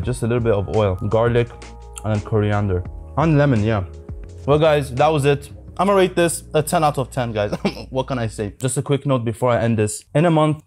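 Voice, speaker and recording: A man's voice: medium pitch, darkish tone. Young man with Lebanese-Nigerian accent. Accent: mixed Lebanese and Nigerian. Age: young adult. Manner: chatty, slangy, eating between answers. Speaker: food vlogger. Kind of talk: casual mukbang Q&A. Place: indoors at a dining table, shelves of glasses behind. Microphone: small lapel mic.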